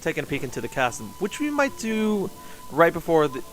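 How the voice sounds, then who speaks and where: A man's voice: on the high side, very animated, brisk, music in the background, poor audio. A man doing casual live game commentary as a streamer, rambling and thinking aloud.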